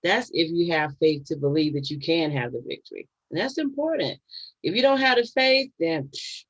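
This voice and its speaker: A woman's voice: sits low, lively intonation, normal speed, dull audio. Chatty woman in her fifties. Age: 50s. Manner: chatty, laughs often, asides to viewers. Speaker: channel host. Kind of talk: casual livestream chat.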